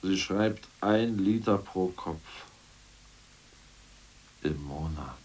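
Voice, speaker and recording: An actor playing a hungry, scheming, irritable ex-official in a prison cell in a German radio play, with a volatile delivery. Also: male voice, low voice, drawn-out words, a little mellow, slightly rough, full character voice, poor audio. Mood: agitated. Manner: volatile, swinging from mutters to outbursts.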